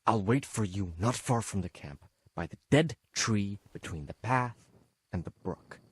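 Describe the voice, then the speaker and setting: An actor playing a shifty, scheming camp guard in a video game, with a raspy voice. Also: male voice, on the low side, expressive, measured pace, no echo. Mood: conspiratorial, instructing. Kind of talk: video game cutscene acting.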